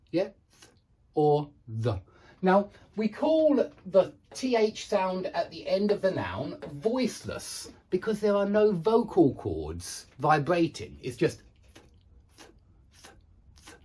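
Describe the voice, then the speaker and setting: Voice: medium pitch, darkish tone, slightly rough. An English pronunciation teacher giving a lesson, frank and self-deprecating, repeating examples with careful enunciation. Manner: self-deprecating, frank about own difficulty. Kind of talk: instructional lesson with demonstrated sounds.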